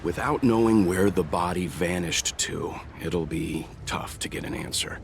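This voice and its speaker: A man voicing a calm, analytical gentleman adviser who reasons formally and carefully in a video game. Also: deep voice, creaky, a little hiss or hum. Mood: grave, thoughtful. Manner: measured, formal, reasoning aloud.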